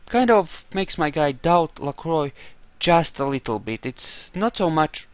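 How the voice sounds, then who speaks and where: A man giving casual role-playing game commentary, haltingly thinking aloud. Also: fairly high pitch, expressive, clean tone, no room sound. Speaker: gameplay narrator.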